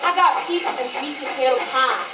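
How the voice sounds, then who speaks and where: A woman acting a sassy, jealous, sharp-tongued woman in a stage play, with a scolding manner. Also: sits high, normal speed, close to an everyday voice. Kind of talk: readers theatre stage acting. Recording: echoey, distant, noisy ambience.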